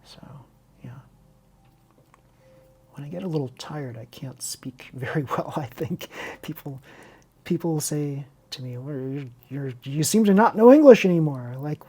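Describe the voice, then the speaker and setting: Husky woman chatting through a hobby build. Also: sits low, lively intonation, measured pace, dark tone. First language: Chinese. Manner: rambling, candid, self-interrupting. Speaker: hobby video host and teacher. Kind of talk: unscripted casual chat over a model car build tutorial.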